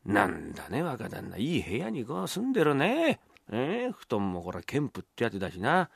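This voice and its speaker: A man's voice: mid-range voice, huge pitch swings, moderate pace, creaky, husky, no room sound, studio quality, pitched below the performer's own voice, full character voice. A man performing rakugo as a timid, fawning book-lender, deferential and fussy, often muttering. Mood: muttering, musing. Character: timid, fawning book-lender, a gifted mimic, easily bribed. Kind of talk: rakugo storytelling.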